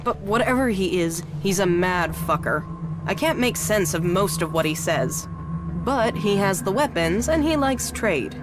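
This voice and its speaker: A woman's voice: mid-range voice, expressive, unhurried, noisy background, lightly acted. An actress playing a wary, tough, streetwise female arms dealer in a video game, with a sardonic, bargaining manner. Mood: dry, businesslike. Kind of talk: video game dialogue acting. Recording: music under the voice.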